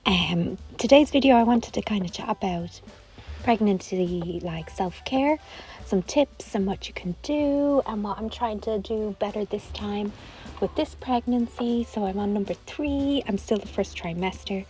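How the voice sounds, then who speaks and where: A hoarse woman, chatting casually to camera in a rambling way with lots of fillers. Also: medium pitch, measured pace, mellow, soft background music, low-quality recording. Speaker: vlogger.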